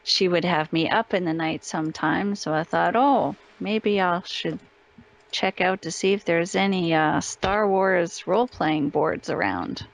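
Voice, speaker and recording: A woman's voice: fairly low pitch, a little slow, vocal fry, rough recording. A woman answering as a guest in a casual interview, telling stories in an easygoing way.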